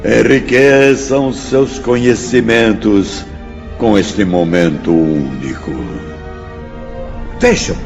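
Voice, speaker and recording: An actor playing a wise, solemn spirit teacher in a Brazilian radio drama, lecturing in grand exclamations. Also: male voice, on the low side, takes it slowly, gravelly, dull audio. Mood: awed, majestic. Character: wise, solemn male spirit teacher revealing Earth's creation. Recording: music bed behind the voice.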